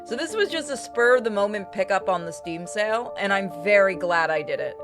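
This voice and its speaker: A woman's voice: medium pitch, expressive, brisk. A woman giving casual, blunt commentary as she plays through a game. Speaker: game streamer. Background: game music.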